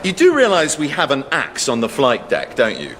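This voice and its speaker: An actor playing an officious, easily flustered airline captain with a British accent, sarcastic and pedantic, in a radio sitcom. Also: male voice, mid-range voice, wildly swooping pitch, normal speed, in a large hall, very noisy. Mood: indignant. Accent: British English.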